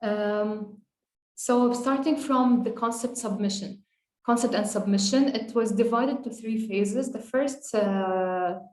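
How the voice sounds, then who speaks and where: A young woman explains her design piece over a video call, filling pauses with uh as she goes. Her voice sits at a middle pitch, and the room around her rings with echo. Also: a little mellow. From Syria. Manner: hesitant, many uh fillers, measured explaining. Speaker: architect and past prize winner presenting her work. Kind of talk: presenting slides in a webinar.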